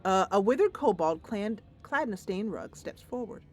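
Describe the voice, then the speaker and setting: A woman's voice: medium pitch, animated, moderate pace. Playful woman commentating on a game over music. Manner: playful, thinks aloud, addresses the game characters. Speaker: host of a game playthrough. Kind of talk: casual gameplay commentary with read-aloud narration.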